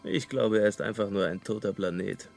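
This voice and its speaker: A man's voice: lowish voice, fairly quick, gravelly, soft background music. A man playing a sceptical, wry space traveller who questions everything, in a radio comedy drama. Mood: wry, sardonic. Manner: probing, wry, sardonic.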